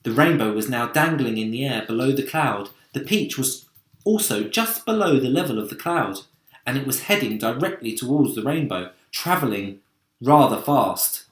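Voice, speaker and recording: A man reading a children's story aloud in an expressive, theatrical manner. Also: mid-range voice, moderate pace. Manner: dramatic, theatrical. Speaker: storybook reader, teacher. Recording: roomy echo.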